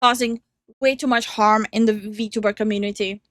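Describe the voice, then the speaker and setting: A young adult woman with a hint of an Indian English accent, co-hosting in casual chat, animated and quick to laugh. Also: medium pitch, no echo, studio quality. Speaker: co-host reacting to online drama.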